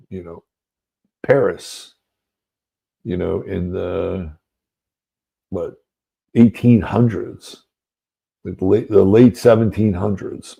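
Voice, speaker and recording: A middle-aged man hosts an astrology talk show and tells his story in a loose, wandering way. His voice sits low and gravelly, with a husky, mellow tone. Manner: rambling, conversational, many fillers and asides. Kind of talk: casual storytelling monologue.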